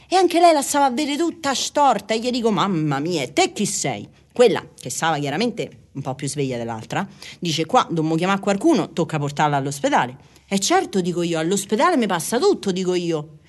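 A woman's voice: medium pitch, darkish tone. A middle-aged woman with a Roman Italian accent telling personal stories casually, rambling, repeating phrases and acting out conversations. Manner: chatty, repeats phrases, acts out dialogue.

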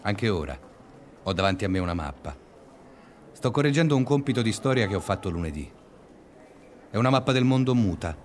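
An actor playing a reflective, pedantic schoolteacher who narrates a confessional radio-drama monologue in measured, deliberate phrases. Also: male voice, lowish voice, animated, a little hiss or hum, lightly acted. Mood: reflective, fond.

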